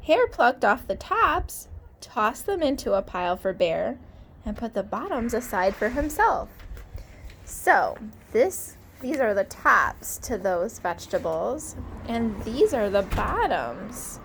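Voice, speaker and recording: A woman teacher reading a storybook aloud to children, pausing to comment and ask questions. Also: on the high side, big pitch swings, gravelly, a bit bright, slight background noise.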